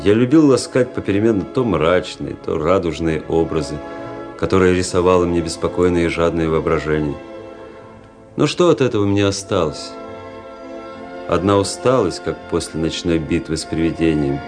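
An actor playing a weary, cynical young army officer who narrates a radio drama in a measured, introspective way. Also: male voice, sits low. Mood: brooding, weary. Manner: introspective, world-weary, measured literary delivery. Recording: music under the voice.

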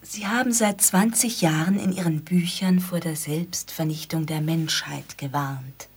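An actress playing a fussy, exacting woman interviewer, deliberate and halting, in a radio play. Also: low voice, breathy edge, full character voice. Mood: restrained, precise. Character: exacting, fussy female interviewer struggling with a deaf guest. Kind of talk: German radio play acting.

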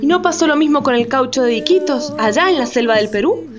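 A woman's voice: high voice, lively intonation, brisk. A woman playing an indignant, sarcastic character in radio theatre, speaking emphatically in rhetorical questions. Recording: slightly dull, music underneath.

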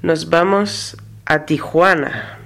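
A woman's voice: deep voice, slow, gravelly, a bit bright. A woman presenting a radio segment, introducing it to listeners in a plain way.

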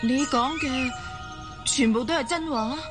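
A woman playing a concerned, inquisitive doctor on an expedition in a radio drama, earnest and expressive. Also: high-pitched, unhurried, music in the background. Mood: questioning. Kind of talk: Cantonese radio drama acting.

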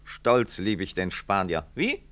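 A breezy male professor in a 1960s radio drama. His lowish voice is animated, jovial and full of self-importance. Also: unhurried, full character voice. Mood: chummy, pleased. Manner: breezy, chummy, name-dropping. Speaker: actor in a radio play. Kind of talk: 1960s German-language radio drama acting.

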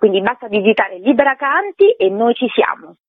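A woman's voice: on the high side, sing-song, normal speed, no echo. A woman explaining her work as a theatre director in a radio interview. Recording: dry, band-limited phone line on radio.

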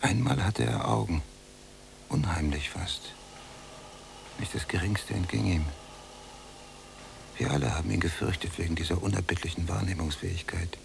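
Bitter convict, very deep creaky voice, brooding, often hushed, literary radio drama, faint hum. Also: male voice, normal speed, pauses often. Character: brooding, resentful younger male prisoner, long confined. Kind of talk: literary radio play acting, monologue and dialogue.

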